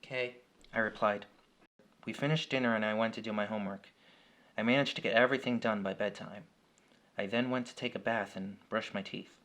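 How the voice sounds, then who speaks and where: A man's voice: lowish voice, a bit bright. A man reading a scripted first-person horror story as its storyteller. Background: music.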